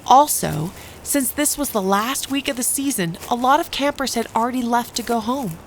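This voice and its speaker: A woman playing a wounded preteen camp girl, narrating a horror story in the first person in a deliberate, detached, dramatic way. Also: a little high, slight background noise, lightly acted, rough recording. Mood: wary, resolute. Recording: music behind the voice.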